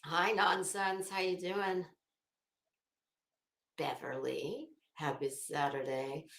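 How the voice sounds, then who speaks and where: A woman's voice: lowish voice, fairly even intonation, slow pace, creaky, a bit bright. A middle-aged woman chatting casually as a livestream host, greeting viewers with teasing, salty banter. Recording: echoey room.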